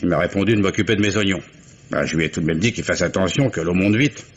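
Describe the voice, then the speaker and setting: An actor playing a gruff, guarded old oyster fisherman in a 1950s radio drama, talking in a folksy, grumbling way. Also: low voice, fast, natural voice. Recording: muffled old radio recording with faint hiss.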